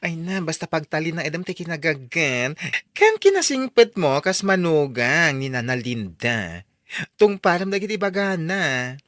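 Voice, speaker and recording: Chatty sympathetic young pal for radio drama, lively intonation, expressive, tight dry recording. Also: female voice, low voice, no echo. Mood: reassuring. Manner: expressive, drawn-out exclamations.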